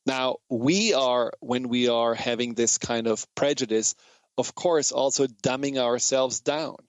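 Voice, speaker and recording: German guy hosting a talk radio show, earnest, restarts a lot, mid pitch, dead dry sound. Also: steady tone, measured pace, no echo, lo-fi sound. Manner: earnest, searching for words, frequent restarts. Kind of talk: reflective monologue on a talk show.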